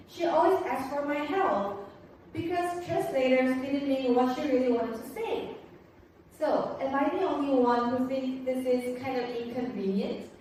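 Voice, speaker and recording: A teenage girl presents her research project in English, her clear as she works earnestly through a prepared script. Her voice sits high. She stands back from the mic in a strongly echoing room with faint background noise. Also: a little mellow. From Korea. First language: Korean. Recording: very echoey room, voice far from mic.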